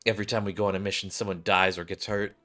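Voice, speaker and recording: A man's voice: deep voice, level tone, racing, pushed and bright, faint music behind. A man voicing a grim, weary woman soldier with a serious, formal manner in a sci-fi game. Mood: grave.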